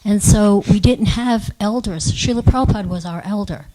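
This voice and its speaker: A woman taking part in a religious discussion, talking informally in a measured, thoughtful way. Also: mid-range voice, mellow, rough recording. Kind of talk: informal reflective talk.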